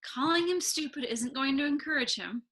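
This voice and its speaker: A woman reading the part of a supportive mother at a screenplay table read, gently persuasive and reassuring. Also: sits high, moderate pace. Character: supportive, reasonable mother of a teenager.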